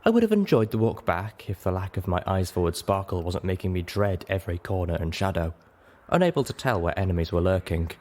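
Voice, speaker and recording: A man reading a first-person adventure story aloud as a dramatic narrator, with expressive and deliberate phrasing. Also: deep voice. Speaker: audiobook narrator.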